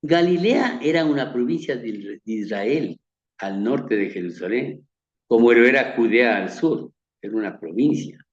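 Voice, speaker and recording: A man from Ecuador with a Quito accent gives a measured, earnest Bible lecture over pictures, often repeating words and letting his phrases fade softly. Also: mid-range voice, expressive, echoey room, muffled recording. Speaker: Bible teacher. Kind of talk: slow sermon-like slideshow lecture.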